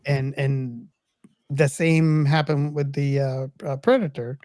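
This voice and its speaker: A man's voice: mid-range voice, slow pace, darkish tone, dry recording, studio quality. A man co-hosting a podcast in casual, conversational talk. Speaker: podcast co-host. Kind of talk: casual chat.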